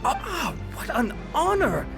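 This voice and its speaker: An actor playing a suave, vain young inventor giving a pompous, theatrical sales pitch in an anime video game. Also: male voice, big pitch swings, moderate pace. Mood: courteous, showy. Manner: smooth, pompous, grandiose. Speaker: researcher presenting a product. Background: game music.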